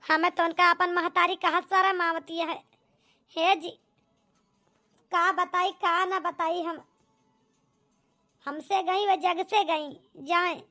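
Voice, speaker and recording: A woman's voice: very high-pitched, steady tone, takes it slowly, long pauses, bright, forceful tone, soft background music, heavily acted. A woman playing a grumbling village wife in an amateur cartoon, with an Awadhi accent and a complaining, gossipy manner. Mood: resentful. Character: grumbling village wife and resentful daughter Poonam. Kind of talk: amateur comedy cartoon dialogue in rural dialect.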